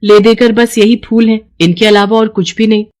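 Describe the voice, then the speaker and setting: A woman's voice: mid-range voice, steady tone, normal speed, a little mellow, close to an everyday voice. A woman playing a poor flower seller's worried, sensible wife, earnest and cautious, in a cartoon story. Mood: earnest, urging. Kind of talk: Hindi cartoon moral story.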